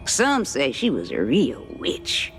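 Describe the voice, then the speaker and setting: A sly old Cajun swamp witch from a video game, ancient and cryptic. She drawls slowly in a creaky voice that swings up and down theatrically, teasing as she goes. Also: deep voice, wildly swooping pitch, soft background music, exaggerated voice. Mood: mysterious storytelling. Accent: Louisiana Cajun. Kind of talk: video game cutscene acting.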